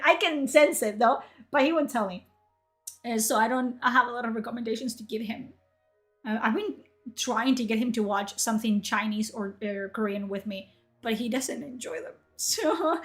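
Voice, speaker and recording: A young woman from Spain, a native Spanish speaker, chatting in a rambling way on her livestream and answering chat. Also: a little high. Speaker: livestream host.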